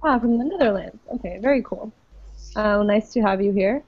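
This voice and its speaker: A woman who teaches English, leading an online group class in a patient, friendly way with many pauses and ums. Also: medium pitch, a little mellow, no room sound. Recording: dull lo-fi web call audio.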